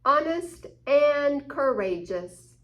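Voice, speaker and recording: A woman with a fairly high voice reads aloud for young children. She takes her time, very slowly and deliberately shaping each phrase, acting out quoted lines and explaining words simply as a story time host. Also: lively intonation, a bit bright. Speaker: story time host reading a picture book. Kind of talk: expressive children's read-aloud with asides to listeners.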